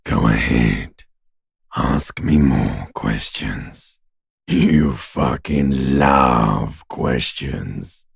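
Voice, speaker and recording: A man voicing a bloated, bitter talking corpse in a video game, with a gravelly rasping growl and a slow, taunting, insinuating manner. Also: rumbling low, no echo, studio quality, exaggerated voice. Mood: bleak, goading. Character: bloated talking male corpse, bitter, mocking, world-weary. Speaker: voice actor. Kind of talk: video game character voice lines.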